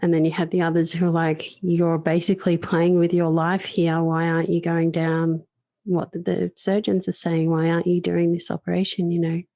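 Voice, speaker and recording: Low gravelly woman hosting a podcast, flat and dark, dry close mic. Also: normal speed, no echo. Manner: earnest, speaks of her own voice loss. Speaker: podcast host. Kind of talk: reflective podcast conversation.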